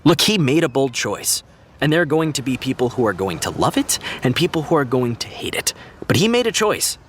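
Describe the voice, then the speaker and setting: An actor playing an easygoing, diplomatic TV writer who explains things in a reassuring, conversational way, in an audio drama. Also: male voice, medium pitch, sing-song, a little fast, a little hiss or hum, close to an everyday voice. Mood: defensive. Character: easygoing, diplomatic TV writer consoling a young fan. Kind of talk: naturalistic audio drama dialogue.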